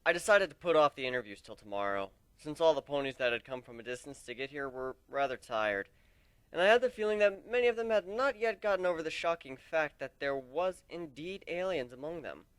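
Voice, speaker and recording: A man giving a dramatic, deliberate first-person narration of a fantasy story as an amateur reader. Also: fairly high pitch, lively intonation, a bit bright, smooth, no room sound.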